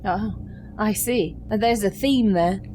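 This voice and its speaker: A woman's voice: mid-range voice, slow pace, warm tone, a little hiss or hum. A woman chatting casually as a player in a tabletop game, hesitant and questioning.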